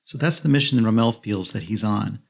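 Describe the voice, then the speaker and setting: Husky, creaky-voiced man. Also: lowish voice, brisk. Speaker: podcast co-host. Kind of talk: film plot recap narration.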